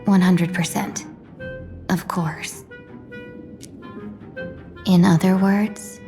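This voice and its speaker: A woman's voice: lowish voice, darkish tone. A woman playing a young envoy in a video game, with formal, theatrical, composed delivery. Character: young female merchant-guild envoy posing as deadly assassin.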